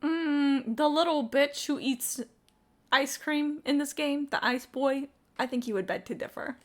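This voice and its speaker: A woman's voice: sits high, unhurried. A woman doing casual, sweary and sarcastic commentary while live streaming a game.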